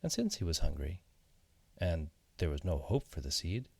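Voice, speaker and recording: Low-voiced man narrating a children's story. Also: very animated, moderate pace, gravelly, slightly rough, no echo. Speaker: audiobook narrator.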